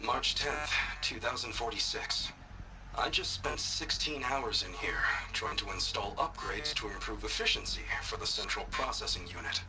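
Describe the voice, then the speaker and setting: A weary, lovesick engineer played by a man rambles into a private log in a video game. He is confiding and wry. The voice sits back from the microphone beneath loud music. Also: fairly low pitch, normal speed, distant mic, natural voice, poor audio. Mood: tired, wry. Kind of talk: audio diary in a video game.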